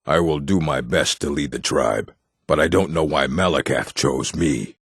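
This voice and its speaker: An extremely low-voiced orc from a fantasy video game. He is proud, stern and blunt, with heavy gravel in the throat. The recording is close and dry. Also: moderate pace, a bit bright, dry recording, heavily acted. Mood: solemn, earnest. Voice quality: gravelly, husky. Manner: blunt, stern, clipped phrasing. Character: gruff proud orc warrior and blacksmith, adult male.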